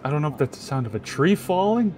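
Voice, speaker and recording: A man's voice: a little high, big pitch swings, fast, slight background noise. Stammering man in roleplay banter. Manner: stammering, repeats words. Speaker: roleplay game player. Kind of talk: improvised in-character game chat. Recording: loud music behind.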